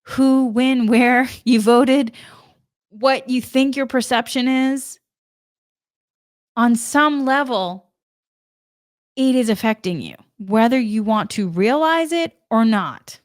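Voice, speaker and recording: A woman's voice: a little high, takes it slowly, long pauses, dry recording, studio quality. Earnest woman with a faint Boston accent. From Massachusetts, New England. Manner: earnest, rambling with restarts and stumbles. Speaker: spiritual channel host. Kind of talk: energy forecast talk.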